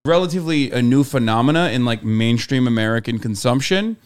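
A young man who grew up in Turkey, hosting a casual podcast chat in an animated way and laughing easily. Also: medium pitch, fairly quick, clean tone. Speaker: podcast host.

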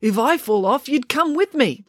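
A hesitant boy performed by a woman storyteller, with a halting stammer and a raised pitch. He sounds practical and urgent, in a dry, close children's audiobook sound. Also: expressive, dry recording.

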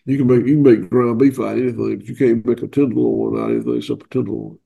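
An older Southern man speaks in a gravelly, husky voice, stuffed up from a cold. He talks in a plainspoken, folksy way over a video call, with a dark, mellow tone. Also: medium pitch, steady tone, dull audio. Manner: folksy, plainspoken, halting with fillers. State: head cold, stuffy nose. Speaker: guest farmer. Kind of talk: casual interview.

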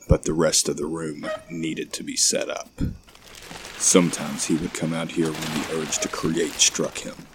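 A man hosting and narrating a horror audio story with deliberate, measured phrasing. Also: a bass voice, noisy background. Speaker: podcast host and audio drama narrator.

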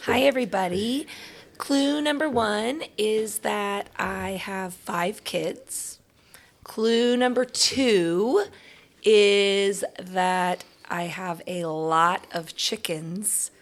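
A woman reading a children's book aloud as a guest story reader, with expressive delivery. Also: mid-range voice, takes it slowly.

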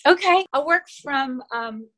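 A middle-aged woman from the Philippines whose first language is Tagalog, talking earnestly as an interview guest with fillers and soft laughs. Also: high voice, lively intonation, unhurried. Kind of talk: casual interview talk.

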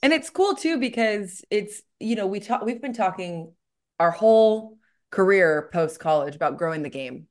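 A woman's voice: medium pitch, expressive, normal speed. A young woman co-hosting a podcast in casual chat, with a laid-back, informal, slangy way of talking. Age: young adult. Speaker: podcast co-host, softball player.

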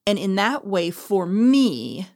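A woman's voice: medium pitch, very animated, slow pace, darkish tone. A woman talking casually and reflectively as a podcast host, explaining things through her own experience. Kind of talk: casual conversational talk.

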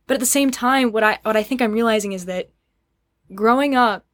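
A young woman speaking as a podcast guest in casual, reflective conversation, earnest and searching, often halting as she works out her thoughts. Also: a little high, a bit bright, dry recording.

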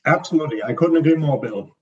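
A man's voice: a little high, quick pace, darkish tone, breathy edge, highs cut off. A man from Germany with a strong German accent, answering interview questions as a consultant, hesitating often with ums and restarts. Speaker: supply chain consultant guest.

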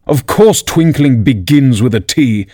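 A man voicing an irritable, blustering king in a children's storybook, sharp, imperious and impatient. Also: a little high, big pitch swings, moderate pace, dry recording, studio quality, voice pushed up. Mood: angry, sharp. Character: blustering, irritable king threatening execution in court.

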